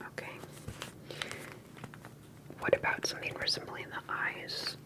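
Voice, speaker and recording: A woman speaking in a breathy whisper, gently and deliberately asking questions in an ASMR roleplay interview. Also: poor audio. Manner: soft-spoken, deliberate, questioning.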